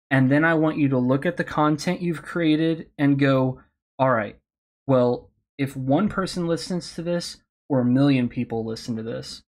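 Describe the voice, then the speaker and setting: A man who hosts a podcast, giving candid, encouraging advice on a convention panel, his voice slightly hoarse. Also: mid-range voice. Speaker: podcast host speaking on a panel.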